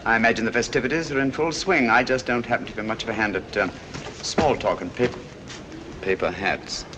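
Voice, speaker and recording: An actor playing a cool, courteous British gentleman detective, speaking with dry, precise irony in 1940s film style. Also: mid-range voice, a little fast, close to an everyday voice. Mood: wry, polite. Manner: dry, precise, politely ironic. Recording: hissy 1940s film soundtrack.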